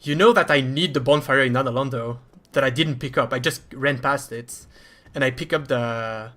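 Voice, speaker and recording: A man's voice: mid-range voice, moderate pace, a bit bright. A man with a French accent commentating live over his own speedrun in a chatty, self-deprecating way.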